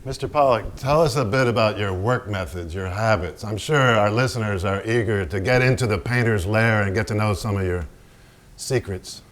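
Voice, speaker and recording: A man acting in a staged reading as a solemn narrator and interviewer, with formal, deliberate, pausing phrases. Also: on the low side, lightly acted. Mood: polite, probing. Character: measured, solemn male narrator and polite interviewer. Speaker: stage actor.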